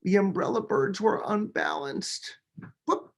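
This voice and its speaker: A man, a children's book author, reading a picture book aloud with expressive, dramatic emphasis. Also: high-pitched, unhurried. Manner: expressive, dramatic delivery with emphasis on key words.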